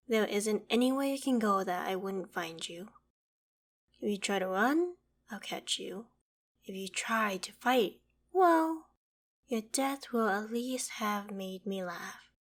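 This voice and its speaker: A woman playing an ancient, haughty dragon in a theatrical ASMR roleplay, with deliberate, imperious phrasing. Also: mid-range voice. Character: ancient female dragon, haughty, menacing, curious about humans. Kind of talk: ASMR roleplay, dramatic monologue to the listener.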